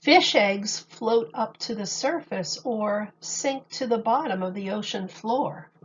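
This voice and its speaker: A woman teacher reading a picture book aloud to young children in a deliberate, expressive storytelling manner. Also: medium pitch, highs cut off, a little hiss or hum.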